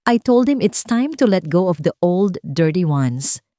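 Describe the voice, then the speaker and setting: A woman's voice: on the low side, big pitch swings, unhurried, dark tone, no room sound, studio quality. A woman with a Filipino accent voicing a falsely sweet, cold and controlling rich stepmother in an audio drama. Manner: saccharine, turning curtly commanding.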